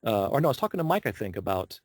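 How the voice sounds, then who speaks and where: A man talking casually as a voice actor guest on an interview show, chatty and telling stories in an animated way. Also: fairly low pitch, rapid-fire, no room sound, studio quality.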